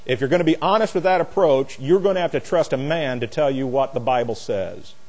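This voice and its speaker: A man's voice: mid-range voice, brisk, a little mellow. A man preaching as a pastor in a Bible lesson, speaking in an emphatic, opinionated, plain-spoken and folksy way. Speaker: pastor teaching a Bible study. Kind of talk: sermon, expository Bible teaching.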